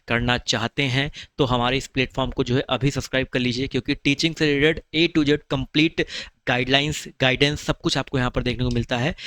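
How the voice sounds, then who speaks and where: A man talks viewers through exam plans in a relaxed, reassuring way. His voice is smooth and clear, set at an ordinary middle pitch. The sound is dry and close, with no room around it. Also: no room sound. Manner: chatty and reassuring, addresses viewers as brother. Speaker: exam-prep teacher. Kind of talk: casual explainer video lesson.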